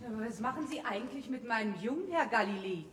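A woman playing a no-nonsense, scolding mother with a sharp, sarcastic manner in a classic radio play. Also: fairly high pitch, animated, fast talker, lightly acted. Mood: indignant. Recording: roomy sound with faint noise.

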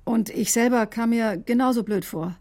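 A woman's voice: a little high, normal speed, a little mellow, natural voice. An actress playing an earnest, defensive doctor who tells her own story in a radio drama. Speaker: doctor, first-person narrator. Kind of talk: radio drama narration and dialogue.

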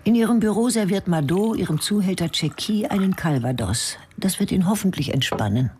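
A woman's voice: medium pitch, animated, a little fast, darkish tone, slight background noise. A woman narrating a radio thriller in terse, clipped sentences. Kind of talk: scripted radio drama narration.

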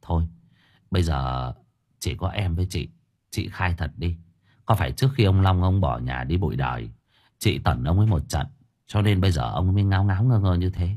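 Man voicing a husky, nosy younger neighbour. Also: sits low, brisk, a little mellow, pitched below the performer's own voice. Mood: probing. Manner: probing, colloquial, admonishing. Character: nosy younger neighbour questioning and gently chiding a wife.

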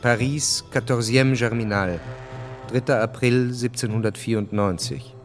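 A man narrating a historical radio drama in a measured, observant, dramatic way. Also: medium pitch, steady tone, soft background music, lightly acted. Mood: sober, observant. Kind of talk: scripted literary narration.

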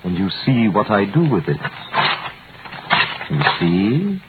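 A calculating royal prosecutor in a 1930s radio drama. He speaks formally and with authority, his pitch swinging freely over a thin, phone-like vintage recording. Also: sing-song. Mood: grave, controlled. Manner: formal, commanding, measured. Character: smooth, calculating royal prosecutor hiding alarm behind authority. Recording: tinny vintage radio broadcast, faint hiss.

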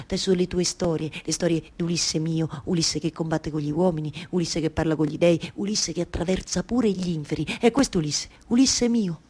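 An actress playing a weary, exasperated yet tender waiting wife in a theatrical radio monologue, with a Roman accent and halting, repetitive phrasing. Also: female voice, lowish voice, a little mellow, slightly rough. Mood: hushed, melancholy. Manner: halting, obsessively repeating words and phrases.

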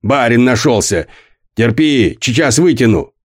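A man's voice: medium pitch, expressive, unhurried, a little mellow, slightly rough. A man putting on a barking brutal foreman. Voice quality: coarse, bullying, barking. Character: brutal gruff middle-aged male stoker foreman. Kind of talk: audiobook character voice.

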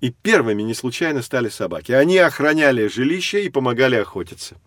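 Man as a patient know-it-all guide explaining stuff to kids, storyteller style, mid pitch, very dry recording. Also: animated, quick pace, a bit bright, dry recording, lightly acted. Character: patient, knowledgeable male guide teaching two children. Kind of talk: scripted educational narration for children.

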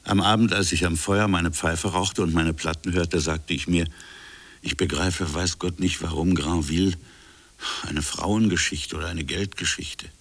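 A reflective colonial official, speaks in a very low, creaky voice with a husky grain. He tells his story as an intimate radio-drama monologue, in short musing fragments broken by pauses. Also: steady tone, heavily acted. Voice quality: gravelly, husky. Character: reflective French colonial official recounting a buried affair. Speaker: narrator and protagonist.

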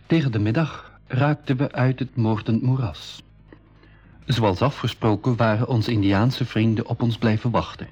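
An actor narrating an adventurer's tale to young listeners in a measured, scripted way on an old radio recording. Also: male voice, mid-range voice, fairly even intonation, darkish tone, slightly rough, faint music behind, close to an everyday voice. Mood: measured storytelling. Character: adventurer recounting his expedition to young listeners. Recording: dull, muffled 1980s radio recording.

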